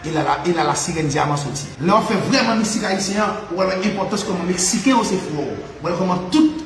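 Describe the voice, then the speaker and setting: Haitian male commentator, high register, emphatic and repetitive, echoey room. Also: fast talker, faint music behind. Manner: mixes Creole and French, emphatic, repeats phrases, laughs often. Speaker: spiritual commentator. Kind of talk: impassioned monologue. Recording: echoey room with faint ambient noise.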